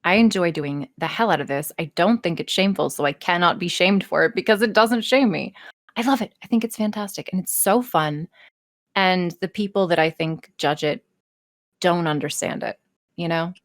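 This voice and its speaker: A woman's voice: lowish voice, normal speed, no room sound, clean studio sound. A woman speaking candidly in casual podcast chat, emphatic and freely swearing, with some self-mockery. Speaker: podcast guest, erotic audio role-play performer.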